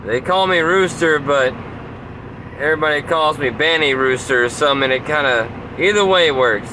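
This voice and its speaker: A man's voice: fairly high pitch, moderate pace. A man, a novice truck driver, chatting casually and respectfully over CB radio. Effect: CB radio transmission. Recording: CB radio chat in clear vehicle-like noise.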